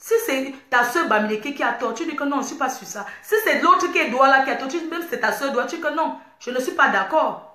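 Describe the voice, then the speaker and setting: A woman's voice: high-pitched, lively intonation, fast, pushed and bright, reverberant. A woman, talking passionately and repetitively to her online followers in a casual livestream. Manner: impassioned, repetitive, preachy. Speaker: online community host.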